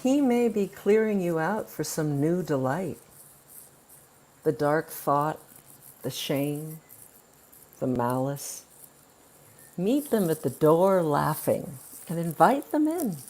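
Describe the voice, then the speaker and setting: A woman reading poetry aloud in a spoken-word style, with measured, deliberate phrasing and pauses between lines. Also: deep voice, animated, a little mellow. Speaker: poetry reader and presenter.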